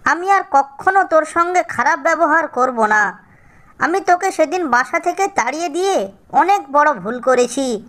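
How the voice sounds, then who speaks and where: A woman's voice: high-pitched, animated, measured pace, fairly bright tone. A woman voicing an imploring, remorseful stepmother in a cartoon fairy tale. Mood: pleading, remorseful. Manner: imploring, insistent. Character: pleading, remorseful stepmother begging forgiveness.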